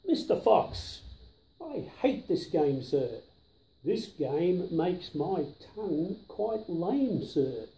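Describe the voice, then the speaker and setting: A man's voice: high-pitched, very animated, very slow, dark tone, echoey room, full character voice, poor audio. An older man voicing a tongue-tied, exasperated storybook character who protests and complains in a fussy way. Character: exasperated, tongue-tied male Mister Knox, reluctant and complaining.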